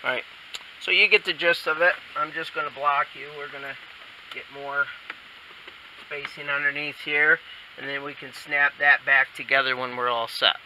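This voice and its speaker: Male DIY demonstrator, casual and unhurried, recorded off-mic in a noisy truck cab. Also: fairly high pitch, steady tone. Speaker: DIY installer demonstrating a how-to. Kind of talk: casual hands-on tutorial talk. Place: inside a pickup truck cab. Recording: distant mic, loud vehicle background noise.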